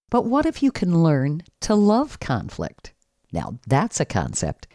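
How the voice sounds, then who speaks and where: A woman's voice: low-pitched, dark tone, no echo, studio quality. A woman co-hosting a podcast, reading an upbeat intro and then chatting enthusiastically with her guest. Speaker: podcast co-host. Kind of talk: scripted intro then casual interview.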